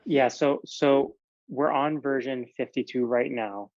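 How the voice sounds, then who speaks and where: A man's voice: medium pitch, steady tone, measured pace, darkish tone, no room sound, muffled recording. Italian-born man, earnest podcast guest. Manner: earnest, explains in long hedged runs with fillers. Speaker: naturopathic physician guest. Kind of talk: casual podcast interview.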